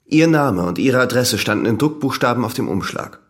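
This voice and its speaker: An actor playing a young examining magistrate, polite, measured and persistently probing in an audio drama interrogation. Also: male voice, mid-range voice, lively intonation, lightly acted. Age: young adult.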